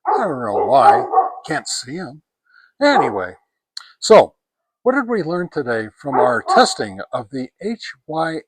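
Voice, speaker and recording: A man giving a casual product review outdoors on a backyard deck, talking through test results in a halting, folksy, conversational way. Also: a little high, slightly rough, dry recording, low-quality recording. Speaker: host of radio gear review channel.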